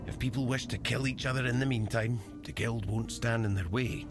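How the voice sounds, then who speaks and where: An actor playing a cynical, shadowy guild member in a fantasy game, speaking in a measured, wry, secretive way. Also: male voice, mid-range voice, steady tone, close to an everyday voice. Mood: proud, defiant. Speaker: quest giver in a fantasy game. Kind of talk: scripted fantasy game dialogue. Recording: music behind the voice.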